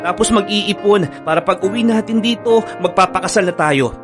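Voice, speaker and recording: A man's voice: high voice, moderate pace, natural voice. A man with a Filipino accent playing an earnest, hurt young boyfriend in a radio drama. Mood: hurt, pleading. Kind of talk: radio drama acting with first-person narration. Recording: music bed under the voice.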